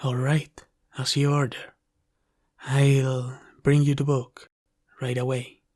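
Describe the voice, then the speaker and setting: A devoted half-dragon butler, played by a man for an ASMR roleplay, speaks at a slow, careful pace. His mid-pitched voice stays level and restrained. He is formal, deferential and caring, with an apologetic humility toward the one he serves. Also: level tone, a little mellow. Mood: dutiful.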